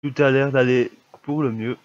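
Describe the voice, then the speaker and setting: A man's voice: mid-range voice, steady tone, normal speed, no room sound, dull audio. A young man with a cold talking through his gameplay in casual, grumbling commentary, with a slightly stuffy voice. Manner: grumbling, easily annoyed at lag. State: has a cold, coughing. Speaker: gamer.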